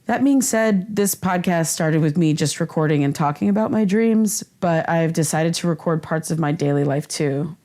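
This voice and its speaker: Actress as low-voiced, earnest woman podcaster. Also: normal speed, hardly any pauses, mellow, lightly acted. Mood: tentative, candid. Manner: casual, hesitant, candid. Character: earnest, vulnerable young woman podcaster plagued by nightmares. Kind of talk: scripted podcast narration, confessional.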